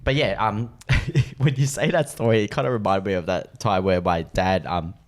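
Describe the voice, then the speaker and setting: A man co-hosting a podcast, telling a story in an animated way, often bursting into laughter. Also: fairly low pitch, normal speed. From Vietnamese family. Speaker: podcast co-host. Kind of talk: casual storytelling.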